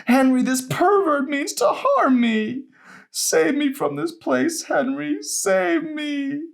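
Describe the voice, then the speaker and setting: A man voicing a deranged, terrified and hostile old grandmother in an audiobook, crying out accusations in a shrill, drawn-out wail. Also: expressive, vocal fry, full character voice. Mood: desperate, pleading. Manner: shrill, wailing, dragged-out cries and accusations.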